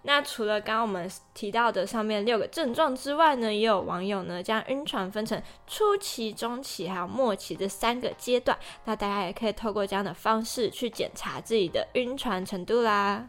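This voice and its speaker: A woman's voice: medium pitch, normal speed, few pauses. A young woman with a Taiwanese Mandarin accent, co-hosting a podcast and chatting casually through prepared points. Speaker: podcast co-host.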